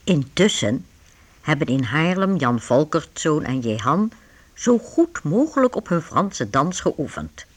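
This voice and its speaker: Narrator for youth radio drama: unhurried, raspy, strongly varied pitch. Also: sing-song. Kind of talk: scripted radio play narration for young listeners.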